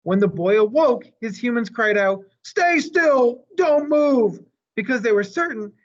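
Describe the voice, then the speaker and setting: A man voicing a gentle, wise old female elephant who tells stories in a measured, thoughtful way. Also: very high-pitched, animated, lo-fi sound, pitched above the performer's own voice. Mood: earnest storytelling.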